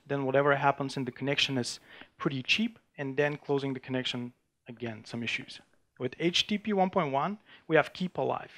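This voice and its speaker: Guy doing a tech demo at a conference, gravelly, keeps restarting sentences. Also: medium pitch, fairly bright tone. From Bulgaria. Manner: halting, frequent restarts and fillers while demoing. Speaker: conference speaker. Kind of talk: technical conference talk with live demo. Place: conference room before an audience.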